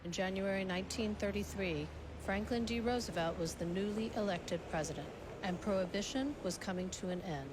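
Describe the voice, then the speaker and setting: A woman's voice: medium pitch, a little fast, noisy background. A woman reading scripted, documentary-style narration for a radio play. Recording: music behind the narration.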